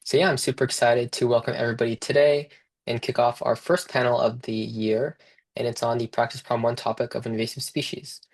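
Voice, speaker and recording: A man's voice: mid-range voice, little pitch movement, fairly quick, low-quality recording. A young man moderating an online panel as a student research director, reading a prepared introduction in a friendly way.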